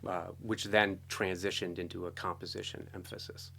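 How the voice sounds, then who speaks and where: A middle-aged American man telling his story casually as an interview guest, talkative and animated. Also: low voice, normal speed, vocal fry, a little hiss or hum. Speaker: interview guest, composer and guitarist.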